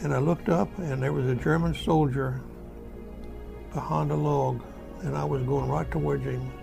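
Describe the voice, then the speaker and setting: An elderly American war veteran recounting his memories in a folksy, halting, repetitive way. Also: on the high side, steady tone, vocal fry, over music. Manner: folksy, halting, repeats himself. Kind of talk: spoken first-person war reminiscence.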